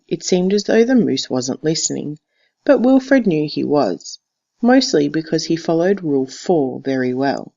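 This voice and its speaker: Teacher, storybook narration, expressive, deliberate, mid-range voice, slightly dull lo-fi recording. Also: medium pitch, gravelly. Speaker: teacher reading to a class. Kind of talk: expressive picture-book read-aloud narration.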